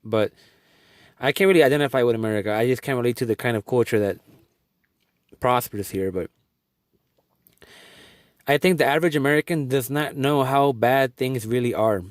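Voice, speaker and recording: A young adult man from Guatemala talks earnestly in a middle-pitched voice, rambling and restarting his sentences as he goes. The recording is dry and close, with no room sound. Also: moderate pace, long pauses, no room sound. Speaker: vlogger. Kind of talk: casual video update.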